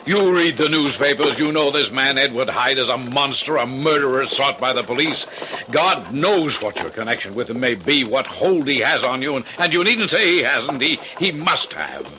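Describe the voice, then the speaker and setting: Proper, forceful Victorian solicitor. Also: male voice, mid-range voice, animated, moderate pace. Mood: stern, concerned. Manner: formal, earnest, stammering when agitated. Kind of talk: old-time radio drama acting. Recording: noisy vintage radio recording.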